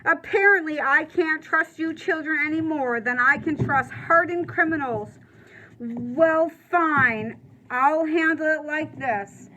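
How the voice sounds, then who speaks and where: A stern, indignant prison warden from a children's novel, as a woman reader puts him on. The voice is high, cold and commanding. He scolds emphatically, biting off his orders. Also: slow, pushed and bright, slight background noise, heavily acted, poor audio. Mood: cold, threatening.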